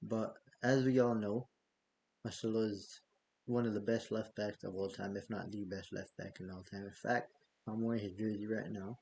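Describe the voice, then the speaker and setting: A man's voice: fairly low pitch, fairly even intonation, normal speed, creaky, darkish tone. A man hosting a football fan vlog, chatting loosely with frequent fillers and self-corrections. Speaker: football fan channel host. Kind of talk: casual news vlog.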